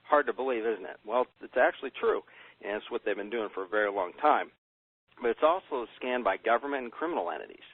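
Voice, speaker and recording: An American man gives an emphatic business pitch on a conference call, asking himself rhetorical questions and answering them. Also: sing-song, a little fast, husky. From United States. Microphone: telephone. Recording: tinny phone line.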